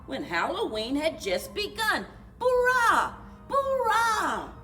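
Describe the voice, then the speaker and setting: A woman reading a children's storybook aloud in an animated, rhythmic singsong for a library story time. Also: very high voice, takes it slowly, soft background music. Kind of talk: children's storybook read-aloud with chanted refrains.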